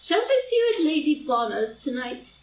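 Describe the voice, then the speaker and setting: A woman's voice: sits high, sing-song, moderate pace. Chatty, self-assured society matron played by a woman, arch drama delivery, recorded distantly in a roomy space. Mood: breezy, arch. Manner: airy, arch social chatter. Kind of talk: stage play read as audio drama. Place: indoor room, live group reading. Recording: roomy, distant live reading, faint noise.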